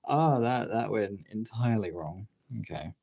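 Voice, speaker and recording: Casual art streamer: gravelly and animated, talks to self, swears freely, slight noise on the recording. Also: mid-range voice, normal speed. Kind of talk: casual chat while colouring artwork.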